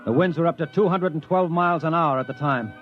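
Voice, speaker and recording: Casting: man as tough, blunt spaceman, fairly high voice, vintage radio sci-fi, muffled recording with music. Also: fast talker, lightly acted. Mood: grim narrating. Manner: gruff, blunt, tough-talking. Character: hard-bitten, short-tempered human space explorer. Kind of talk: 1950s radio drama acting and narration.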